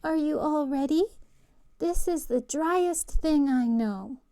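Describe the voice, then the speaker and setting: Woman voicing a pompous, high-pitched little mouse. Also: slow, mellow. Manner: pompous, clipped, politely cross. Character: self-important, easily ruffled mouse giving a dry lecture. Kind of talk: storybook character voice.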